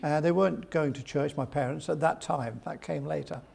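Older man, gravelly voice, fairly high pitch; rambling, chuckling interview guest. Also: normal speed, darkish tone. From England. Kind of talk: storytelling interview.

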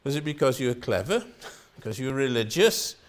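A man's voice: medium pitch, big pitch swings, fairly quick. An older man from Wales with a Welsh accent, preaching a sermon in an earnest style and repeating phrases for emphasis.

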